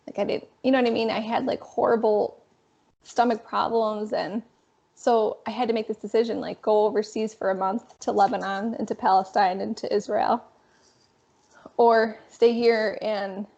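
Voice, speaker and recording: A young woman in her twenties with a raspy voice, a guest telling her story in a casual, animated interview. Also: medium pitch, normal speed. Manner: animated, frequent fillers. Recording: dull lo-fi sound.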